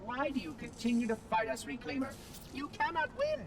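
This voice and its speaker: Prissy machine caretaker, very high and lilting, robotic, distant and echoing over loud noise. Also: big pitch swings, fairly quick. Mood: shocked, menacing. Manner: pompous, prissy, florid. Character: fussy, pompous, gleeful robot caretaker. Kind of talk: theatrical video game voice acting.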